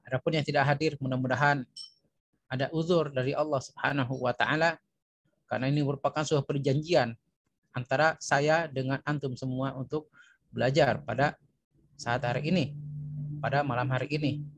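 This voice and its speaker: A man's voice: mid-range voice, fairly even intonation, moderate pace, pauses often, no echo. A man with an Indonesian accent teaching a language lesson online, explaining and reading phrases aloud with repetition for learners. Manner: instructional, repeats phrases for learners.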